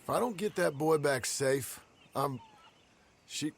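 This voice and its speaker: Casting: rough young gunman, western game, raspy with lots of fry. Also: on the high side, expressive, slow, full character voice. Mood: anxious. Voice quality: gravelly, raspy. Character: anxious, gruff young male outlaw father. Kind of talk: dramatic video game acting.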